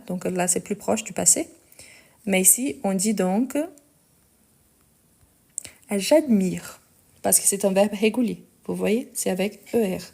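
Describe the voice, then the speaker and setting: Slow gravelly woman teaching French. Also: mid-range voice, mellow. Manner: slow, careful, repeats and spells out verb endings. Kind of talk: live online conjugation lesson.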